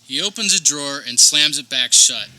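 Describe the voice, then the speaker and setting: A man's voice: a little high, expressive, normal speed, noisy background. A man reading scripted narration for a comedic radio play.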